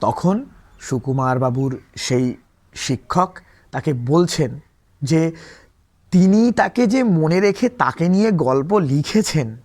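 Higher-voiced male teacher retelling a story. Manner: repeats and rephrases points, asks rhetorical questions. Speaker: tuition teacher explaining a school story. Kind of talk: explanatory lesson, retelling a story in spoken language.

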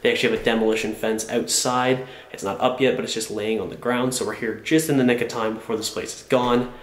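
A man's voice: mid-range voice, fairly even intonation, fast, slight background noise. A young man with a cold, chatting casually as he films himself walking through an abandoned house. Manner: enthusiastic, chatty, many fillers. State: sick with a cold. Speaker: urban explorer vlogger. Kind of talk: casual walkthrough narration. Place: empty abandoned house, indoors. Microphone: small camera held at arm's length.

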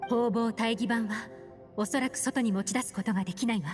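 An actress voices a cool, mysterious woman adventurer, fairly high and poised. Her measured game dialogue rings with long reverb over light music. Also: natural voice. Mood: pensive. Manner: poised, measured, feminine phrasing. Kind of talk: Japanese anime-style game voice acting.

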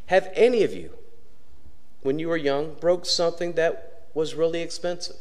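A middle-aged male pastor preaching a sermon from the pulpit, telling stories with frequent pauses. He speaks into a pulpit microphone in a church sanctuary. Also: a little mellow. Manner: storytelling preacher, pauses often and varies pitch widely. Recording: long church reverb.

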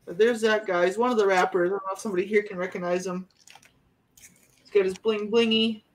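A woman's voice: medium pitch, fairly even intonation, brisk, rough recording. Woman in her thirties, live-stream auction host, chatty and rambling, fillers, small bedroom, webcam sound.

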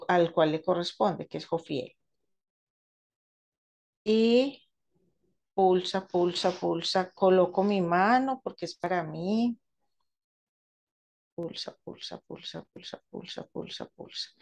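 A woman gives an informal tutorial as a therapist, explaining haltingly and often rephrasing herself. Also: mid-range voice, a little mellow. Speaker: radionics therapist demonstrating a quantum table. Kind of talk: informal spoken tutorial with personal anecdotes. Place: indoors at a table, seated.

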